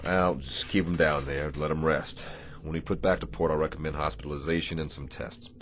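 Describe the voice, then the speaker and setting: A man playing a commanding Navy destroyer captain in a radio drama, measured and dryly wry. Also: extremely low pitch, steady tone, fairly bright tone, a little hiss or hum. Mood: businesslike.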